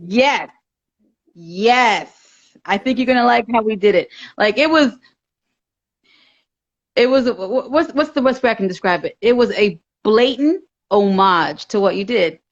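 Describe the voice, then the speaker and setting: A woman's voice: fairly high pitch, lively intonation, a little slow, long pauses. A woman, creator and director of a series, hosting a casual interview and promoting her show, laughing easily. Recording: dull lo-fi audio.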